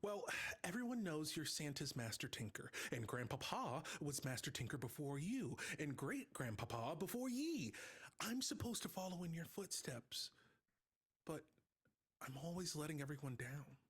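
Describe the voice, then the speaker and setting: A man's voice: on the high side, big pitch swings, moderate pace, husky. A man playing a naive, downcast grown man raised by elves, in a live table read of a comedy film.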